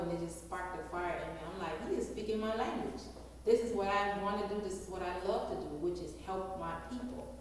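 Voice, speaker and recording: A woman's voice: fairly low pitch, very echoey, in a large hall, far from the mic, slight background noise, rough recording. A woman from Liberia, a guest chef answering interview questions with enthusiastic storytelling.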